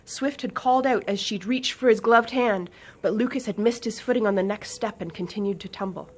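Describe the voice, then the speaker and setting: A woman reading a children's book aloud in an expressive, dramatic narrating style, stretching some words and hushing others. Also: mid-range voice, brisk. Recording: faint background noise.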